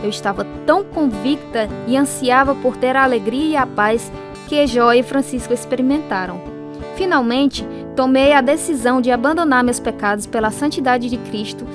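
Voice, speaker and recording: High-voiced troubled young woman, played by an actress, over music. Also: normal speed, natural voice. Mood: earnest. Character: troubled young woman narrating her conversion, earnest and hesitant. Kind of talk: dramatized first-person radio narration.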